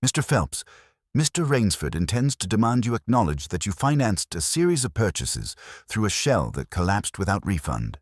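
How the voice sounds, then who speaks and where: A man's voice: fairly low pitch, big pitch swings, moderate pace, breathy edge, no room sound, studio quality, pitched above the performer's own voice. A man voicing a shrewd, commanding master detective in an audiobook, speaking formally, precisely and with authority. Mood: stern, methodical.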